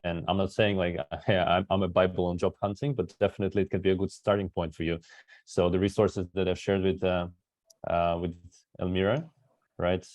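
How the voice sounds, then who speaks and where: Man, very low voice, native Russian speaker, talkative, dry close sound. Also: flat delivery, fairly quick, darkish tone, no room sound. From Kazakhstan. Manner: talkative, tags many sentences with "right?". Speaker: guest speaker, recruiter. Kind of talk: webinar talk.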